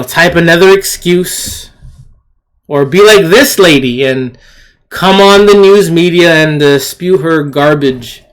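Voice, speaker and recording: Male commentator, voice on the higher side, unscripted and argumentative, repeats himself for emphasis. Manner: argumentative, scornful. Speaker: commentator hosting an opinion video. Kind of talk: unscripted opinion rant.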